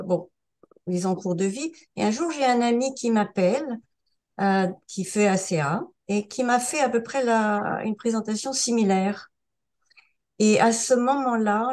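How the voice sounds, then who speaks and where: A woman's voice: on the low side, darkish tone. A woman around seventy, from France, telling her story as an interview guest in a casual, hesitant way, with many fillers and restarts. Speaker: interview guest sharing a testimonial. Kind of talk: casual spoken testimonial.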